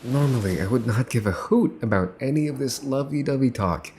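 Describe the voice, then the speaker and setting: A man's voice: medium pitch, animated, fairly quick, darkish tone, a little hiss or hum, close to an everyday voice. A man playing a smug, menacing young mafia boss's son in an ASMR roleplay, mocking and teasing with theatrical deliberation. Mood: wry, confiding. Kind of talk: ASMR roleplay, dramatic monologue to the listener.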